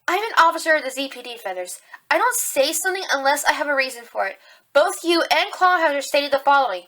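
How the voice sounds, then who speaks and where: A woman's voice: sits high, very animated, bright, forceful tone, full character voice. A woman acting a determined young rabbit police officer, arguing assertively in a courtroom audio drama. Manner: assertive, deliberate, argumentative.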